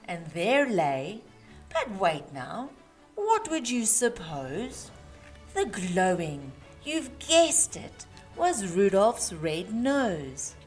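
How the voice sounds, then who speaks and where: A woman reading a rhyming children's storybook aloud with measured, emphatic phrasing for young listeners. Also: mid-range voice, very animated, a little mellow. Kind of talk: expressive read-aloud of rhyming Christmas storybook. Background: music.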